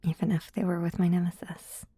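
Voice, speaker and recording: Hushed, breathy low-voiced woman. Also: level tone, fairly quick. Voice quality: breathy, soft. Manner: hushed, intimate. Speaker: audio drama writer and performer. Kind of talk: scripted ASMR narration.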